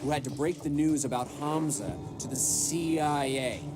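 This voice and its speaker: A man's voice: on the high side, normal speed, natural voice. A man playing a severe intelligence official in a video game, accusatory and clipped as he interrogates an agent. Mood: stern. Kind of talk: dramatic video game acting. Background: music.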